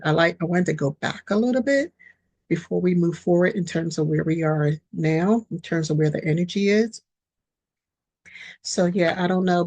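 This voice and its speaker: A woman with a raspy voice hosting her own podcast, talking casually through a tarot reading with many fillers and restarts, on a built-in mic without a headset. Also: fairly low pitch, no room sound. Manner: rambling, full of fillers and restarts. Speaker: podcast host and tarot reader.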